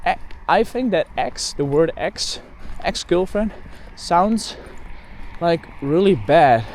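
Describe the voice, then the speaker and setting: A man's voice: a little high, expressive, slow pace, darkish tone, slight background noise. A man with a Dutch accent, a native Dutch speaker, chatting casually and rambling as he walks outdoors, often correcting himself and leaning on "like" and "um.". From Netherlands. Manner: rambling, self-correcting, lots of "like" and "um". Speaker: bird photographer vlogger. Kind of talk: casual rambling walk-and-talk. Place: outdoors in open countryside, walking. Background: faint outdoor ambience with passing cars.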